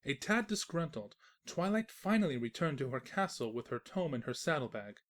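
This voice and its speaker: Low-pitched woman narrating a comic story. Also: sing-song, moderate pace. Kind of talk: scripted comedic storybook narration.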